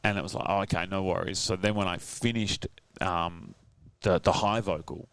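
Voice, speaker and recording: A man with a very deep voice, a singer-songwriter guest telling a story in a casual interview, earnest and rambling with many fillers and restarts. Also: steady tone, normal speed, fairly bright tone, clear, resonant tone, dry recording.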